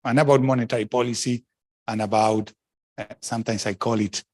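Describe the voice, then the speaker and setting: A middle-aged man from Argentina, speaking as a former central banker on a discussion panel. He talks frankly and emphatically, breaks off to restart his sentences, and throws in asides. His voice sits at a medium pitch, and the recording is dry and close. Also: steady tone, brisk, a little mellow, no room sound. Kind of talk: panel talk answering questions.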